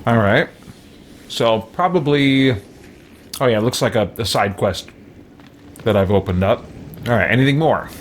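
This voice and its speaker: A man's voice: mid-range voice, animated, unhurried, long pauses, a little hiss or hum. A man in his thirties giving let's play commentary on a game and role-playing his replies. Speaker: game streamer.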